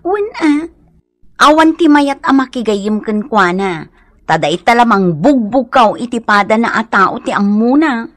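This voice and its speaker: A woman's voice: a little high, expressive, unhurried. An actress playing a concerned, reproachful village mother in a radio comedy-drama, with an Ilocano accent and an admonishing delivery. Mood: disapproving.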